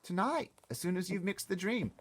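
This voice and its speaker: A man's voice: high voice, normal speed, slightly rough, voice pushed up. A man voicing a bossy, eager little girl in a storybook read-aloud, explaining things emphatically and confidently. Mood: insistent.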